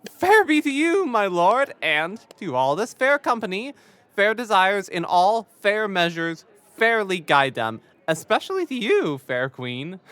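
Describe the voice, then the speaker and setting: An actor playing a fussy, pompous courtly lord who flatters effusively and repeats himself in a Shakespearean audio drama. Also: mid-range voice, a little slow, clean tone, no room sound. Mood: effusive, flattering.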